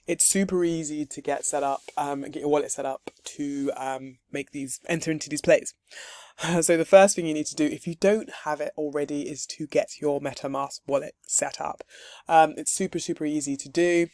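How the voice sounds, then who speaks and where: A man with a hoarse, husky voice from losing it, giving a chatty, upbeat screen-share walkthrough of a crypto setup. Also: fairly high pitch, fairly even intonation, moderate pace, a little mellow, dry recording, clean studio sound. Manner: chatty and upbeat, with light laughs and frequent um's. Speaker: crypto tutorial creator.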